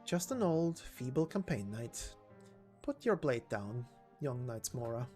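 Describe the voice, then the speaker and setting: Man playing a grave, calm old knight. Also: mid-range voice. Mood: solemn. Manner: measured, formal, solemn. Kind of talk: dramatic reading of game dialogue. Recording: voice over background music.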